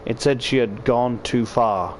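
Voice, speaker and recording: A man's voice: medium pitch, unhurried, slight background noise, low-quality recording. A man narrating a first-person story dramatically and expressively, as if reading a visual novel aloud. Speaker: visual novel reader, YouTuber. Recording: loud background music. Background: loud music.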